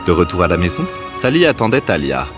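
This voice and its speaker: Deep, lively male storyteller. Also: quick pace, over music. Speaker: narrator. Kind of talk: scripted children's story narration.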